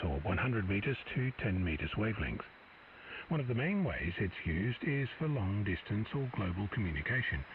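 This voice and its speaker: Male radio presenter, measured explanatory read, lively pitch, muffled static-heavy shortwave sound. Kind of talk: scripted educational narration.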